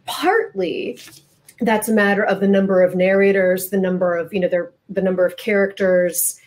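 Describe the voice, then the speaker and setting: A woman's voice: mid-range voice, normal speed, darkish tone. A woman moderating a literary interview, conversational and a little wry, building long questions piece by piece.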